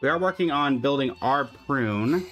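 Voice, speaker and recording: A man's voice: mid-range voice, animated, a little slow, fairly bright tone, faint music behind. A man giving casual, chatty commentary on a game livestream, talking to his chat and humming little filler syllables.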